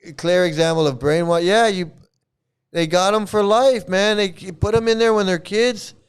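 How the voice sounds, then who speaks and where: A man gives a mocking rant over video clips, laughing often and repeating his jabs. His voice sits fairly high and sounds very clear and clean. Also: normal speed. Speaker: YouTube commentator.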